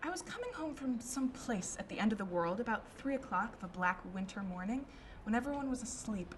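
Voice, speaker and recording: A woman's voice: medium pitch, a little hiss or hum, natural voice, rough recording. A woman playing a well-known Victorian lady about town, recounting events dramatically in a radio drama. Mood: conversational, intrigued. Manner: dramatic storytelling, emphatic.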